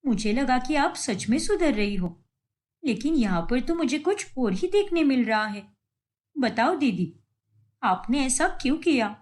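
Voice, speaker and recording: A woman's voice: sits high, very animated, measured pace, darkish tone. A woman voicing an earnest, virtuous younger sister-in-law in a moral cartoon. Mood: shocked, reproachful. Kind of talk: acted moral-story cartoon.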